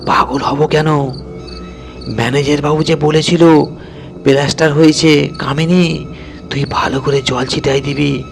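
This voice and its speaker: A man voicing a meek servant woman in a horror audio story, hushed, deferential and matter-of-fact in rustic dialect. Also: fairly high pitch, fairly even intonation, a little slow, vocal fry, pauses often, over music.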